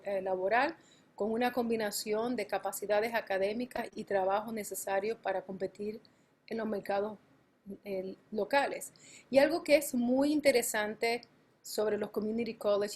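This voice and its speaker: Halting woman giving a conference talk. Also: medium pitch, darkish tone, slightly rough. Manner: halting, frequent fillers and self-corrections. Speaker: college campus administrator presenting on a panel. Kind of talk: conference presentation. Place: conference panel, remote or on stage.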